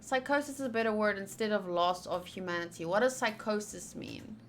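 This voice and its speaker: A woman's voice: mid-range voice, fairly quick, slight background noise. A woman giving casual visual novel playthrough commentary, chatty and thinking aloud as she reads the text. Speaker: game streamer.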